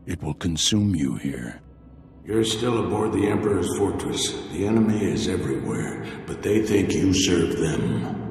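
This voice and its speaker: An actor playing a solemn old Jedi spirit who guides a pupil gravely, in a science fiction game. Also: male voice, sits low, darkish tone, exaggerated voice. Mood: solemn, urging. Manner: slow, grave, urging. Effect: ghostly heavy reverb. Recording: long echoing reverb on voice.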